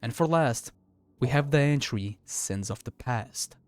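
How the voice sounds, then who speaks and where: A man's voice: medium pitch, lively intonation, a little slow. A man giving scripted, explanatory narration in the style of a video essay.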